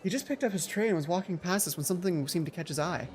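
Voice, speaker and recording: Let's play host, medium pitch, theatrical story reading broken by casual asides, music behind. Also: fast, darkish tone. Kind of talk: reading visual novel aloud with casual asides.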